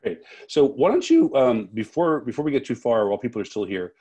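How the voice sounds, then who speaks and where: A man hosting an unscripted interview, speaking haltingly and rephrasing his questions as he goes. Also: medium pitch, sing-song, mellow, husky. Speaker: interviewer and festival host.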